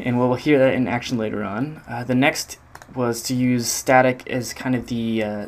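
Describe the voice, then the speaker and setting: A man giving an informal walkthrough of a demo, speaking hesitantly as if thinking aloud. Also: medium pitch. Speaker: game audio designer presenting own project.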